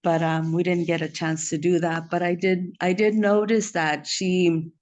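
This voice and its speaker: A woman who is from El Salvador and was raised in the US speaks as a friend at a memorial. Her tribute is informal and heartfelt. She moves through it haltingly, often repeating a word or two before going on. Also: fairly low pitch, level tone, darkish tone, muffled recording. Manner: halting, repeats words while searching.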